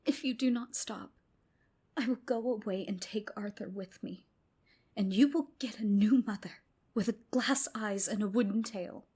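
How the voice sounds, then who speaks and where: A woman's voice: mid-range voice, unhurried, mellow, slightly rough, pitched above the performer's own voice. A woman voicing a stern, upset mother who warns and pleads with her children in an ASMR folk tale. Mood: stern warning. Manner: pleading, admonishing. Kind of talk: whispery ASMR storytelling.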